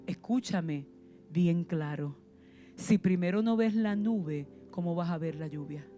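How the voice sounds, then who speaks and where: A woman's voice: lowish voice, unhurried, mellow, faint music behind. A woman preacher with a Puerto Rican accent, delivering a passionate sermon full of rhetorical questions and sudden emphasis. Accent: Puerto Rican Spanish. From Puerto Rico.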